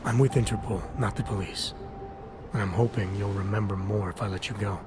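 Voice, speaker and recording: An actor playing a calm, probing Interpol agent in a video game, speaking in measured, understated, short lines. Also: male voice, on the low side, noisy background, close to an everyday voice. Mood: reassuring, firm. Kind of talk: video game cutscene acting. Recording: music playing behind the voice.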